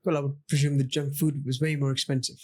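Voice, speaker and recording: Mellow man hosting a podcast. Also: mid-range voice, little pitch movement, fast talker, a little hiss or hum. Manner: brief affirmations, follow-up questions. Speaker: podcast host. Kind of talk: conversational interview.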